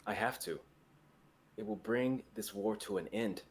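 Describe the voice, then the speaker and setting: A man's voice: on the low side, measured pace, natural voice. A man playing an earnest, resolute and tender fantasy hero in a dramatic reading. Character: earnest heroic young man, resolute, tender, self-sacrificing.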